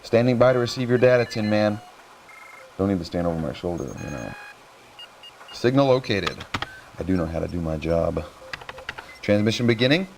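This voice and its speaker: A man's voice: on the low side, animated, normal speed, vocal fry, long pauses, a little mellow. A man playing a cocky, harried young resistance radio operator in a game cutscene, with clipped, urgent technical patter. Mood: businesslike, then grumbling. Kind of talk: video game cutscene acting. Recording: noisy radio-room sound, clicks and whirring.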